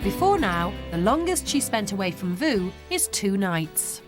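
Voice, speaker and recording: A woman narrates a documentary in a bright, storytelling manner. Her intonation swings widely and lively. Faint music plays behind her. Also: mid-range voice, unhurried. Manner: bright, lilting storytelling delivery.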